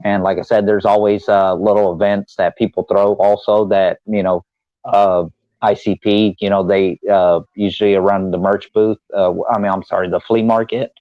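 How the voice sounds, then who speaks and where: A man's voice: on the low side, flat delivery, moderate pace, no echo, muffled recording. A man co-hosting an advice show, chatting casually in a rambling, hesitant way and repeating himself. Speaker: advice call-in show co-host. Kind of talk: casual chat.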